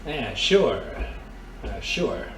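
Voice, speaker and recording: An actor playing a tough, suspicious reformed crook in a period noir radio drama, slangy and agitated. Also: male voice, on the low side, big pitch swings, very slow, distant mic, a little hiss or hum, exaggerated voice. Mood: distracted. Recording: roomy, slightly off-mic.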